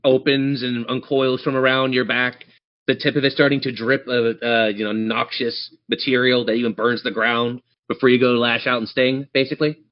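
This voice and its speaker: A man's voice: medium pitch, little pitch movement, normal speed, muffled recording. A man running a tabletop game as game master, narrating the action and chatting encouragingly with players.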